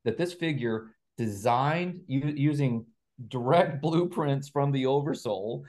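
A man's voice: medium pitch, slow, darkish tone. A man speaking as an interview guest on a video call, explaining ideas methodically, step by step. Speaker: guest author and researcher.

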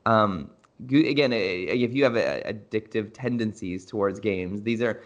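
A man's voice: lowish voice, expressive, moderate pace, lo-fi sound. Enthusiastic man hosting a casual interview. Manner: enthusiastic, hedging with fillers. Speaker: podcast host.

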